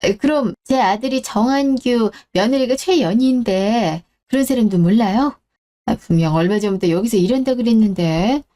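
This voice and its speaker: A woman's voice: on the high side, normal speed, mellow, lightly acted. A woman playing an elderly, doting mother and grandmother in a radio drama, apologetic, sighing and rambling as she talks. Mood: puzzled, polite. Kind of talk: Korean radio-drama story acting.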